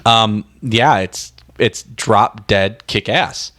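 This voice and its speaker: A man's voice: lowish voice, extremely slow, a bit bright. A man co-hosting a music podcast, chatting casually in a blunt, rambling, slangy way. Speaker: podcast co-host.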